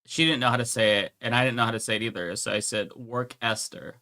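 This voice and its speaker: A man's voice: medium pitch, fairly even intonation, fast talker, sharp tone. A man co-hosting a casual livestream, chatty, blunt and joking. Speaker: co-host.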